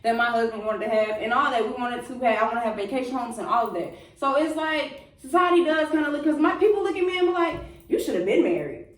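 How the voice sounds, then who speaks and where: A woman talks in a high voice, emphatic and quick to laugh as she co-hosts a casual podcast. She sits a little back from the mic in an echoey room. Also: expressive, distant mic. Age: 20s. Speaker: podcast co-host. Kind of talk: casual chat.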